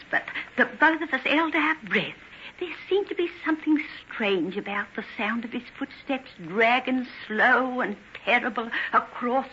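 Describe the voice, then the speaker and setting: An actress playing an anxious, gossipy working-class English housekeeper, speaking in a breathless, colloquial way in an old radio drama. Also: female voice, fairly high pitch, sharp tone, heavily acted. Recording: dull, noisy old radio recording.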